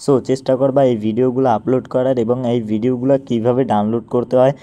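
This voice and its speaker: A Bangladeshi man talks through an app tutorial in a very dark, soft-edged voice. His pace is brisk and his wording informal. He explains each step and then repeats it in an instructional way. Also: mid-range voice, fairly even intonation. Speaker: tutorial presenter. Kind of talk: informal step-by-step screen walkthrough.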